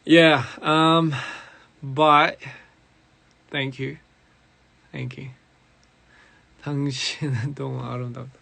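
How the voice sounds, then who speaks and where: A young man with a raspy, hoarse voice, chatting casually as a musician on a live stream and switching between English and Korean. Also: medium pitch, flat delivery, normal speed, long pauses, pushed and bright, no echo. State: hoarse after a recording session.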